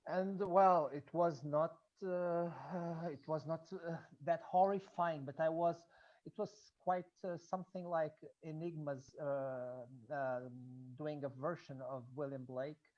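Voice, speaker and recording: A Portuguese-accented speaker, native in Portuguese, talks in a hesitant, halting way during an informal online discussion. The pitch sits in a middle range, and the call audio is muffled and lo-fi. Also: fairly even intonation. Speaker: audience member, student researching Blake and music.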